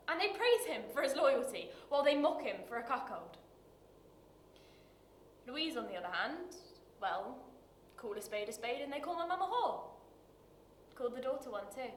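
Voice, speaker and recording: A woman acting a dramatic screen monologue as a bitter, wounded working-class woman, confessional and halting, with weighted pauses. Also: sits high, expressive. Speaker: actor performing a monologue. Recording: roomy echo with light background noise.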